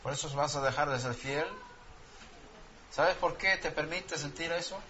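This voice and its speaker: A man whose first language is Swedish delivers a sermon with emphatic repetition and questions put to his listeners. His voice is bright and forceful and sits a little high. The recording is dull and lo-fi, with faint ambience behind him. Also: normal speed, slight background noise. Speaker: preacher.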